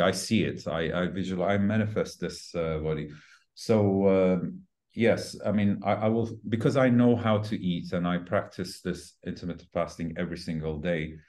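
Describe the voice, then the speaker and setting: A man in his forties with a notably deep voice and a dark, relaxed tone. He explains like a fitness coach being interviewed, conversationally and a little haltingly, searching for words and then repeating the important ones for emphasis. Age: 40s. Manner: emphatic repetition of key words. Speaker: fitness trainer and podcast guest.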